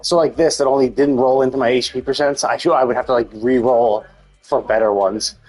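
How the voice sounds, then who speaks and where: A man streaming a game live, talking casually and haltingly as he works through numbers to himself. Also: mid-range voice, steady tone, a little mellow, soft background music. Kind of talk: casual gaming stream commentary, thinking aloud.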